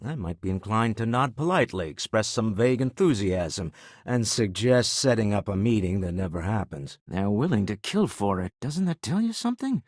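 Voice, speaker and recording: An older man playing a wealthy, pragmatic businessman in a video game, speaking in an articulate, wry, measured way. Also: on the low side, sing-song, dry recording, clean studio sound. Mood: wry, indignant. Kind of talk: video game character dialogue.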